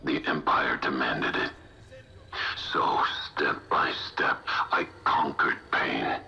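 A battle-scarred, vengeful game pilot, with an extremely low, creaky growl. He speaks slowly in terse, brooding fragments through a helmet radio filter. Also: pushed and bright, full character voice. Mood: brooding menace. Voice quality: gravelly, growling. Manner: terse, halting, brooding short phrases. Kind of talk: video game cutscene acting.

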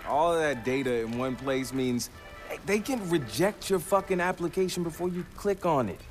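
A man's voice: fairly high pitch, animated, normal speed, over music, lightly acted. A man playing a brash, fired-up young American hacker in a game, slangy, sweary and emphatic. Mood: confident. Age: young adult. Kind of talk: video game cutscene acting.

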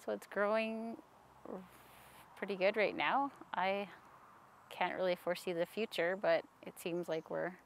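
A woman in her thirties talks in a hushed, halting way, pausing often with ums as she answers interview questions. Her voice is giving out and sits at a middling pitch. She is recorded outdoors in a sunny park. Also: fairly even intonation, creaky, a little hiss or hum. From Canada. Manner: soft-spoken, hesitant with frequent ums. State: losing her voice. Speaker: staff member interviewee.

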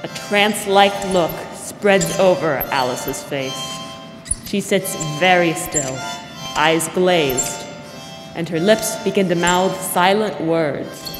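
A woman's voice: lowish voice, slow. A young woman narrating a scripted radio drama. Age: young adult. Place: school theatre stage. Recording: echoey hall with music behind. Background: music.

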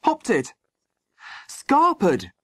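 A cheeky young schoolboy played by an audiobook narrator, pitched up high and full of bounce. He blurts things out boldly and eagerly in this storybook reading. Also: wildly swooping pitch, extremely slow, sharp tone, husky, dry recording, heavily acted. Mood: cocky. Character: cheeky, excitable young schoolboy who interrupts.

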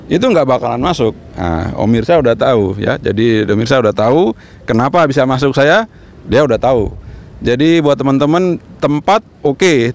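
A man in his forties with an Indonesian accent, explaining repeatedly and casually as a radio operator giving a hands-on demonstration outdoors. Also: mid-range voice. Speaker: amateur radio operator, instructor. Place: open rooftop in a town, outdoors. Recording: very noisy outdoor recording.

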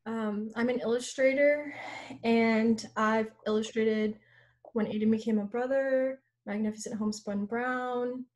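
A young woman illustrator and design student introducing herself briskly on a video call. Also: a little high, fairly even intonation, a little mellow, lo-fi sound, slight background noise. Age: young adult. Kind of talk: self-introduction on a panel.

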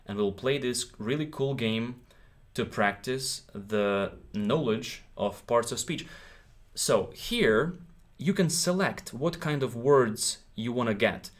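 A man's voice: medium pitch, expressive, takes it slowly. A man in his thirties, a native Ukrainian speaker with a Ukrainian accent, teaching English in a live stream from a small room, encouraging and checking in with his viewers often. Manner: encouraging, repeats himself, checks in with "okay?".

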